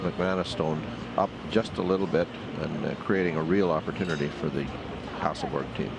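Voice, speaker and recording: Low guy doing play-by-play, slow explainer vibe, lots of uh, loud noisy arena behind. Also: vocal fry. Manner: measured, explanatory, frequent "uh" pauses. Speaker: play-by-play commentator. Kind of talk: sports commentary. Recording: broadcast audio with loud background noise.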